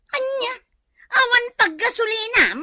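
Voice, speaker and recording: A woman's voice: lively intonation, a little slow, no echo, studio quality, full character voice. A woman with an Ilocano accent voicing a furious, scolding wife in a comedy radio drama. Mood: suspicious, scolding. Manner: shrill, scolding, shouting. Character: furious nagging wife who throws plates at her husband.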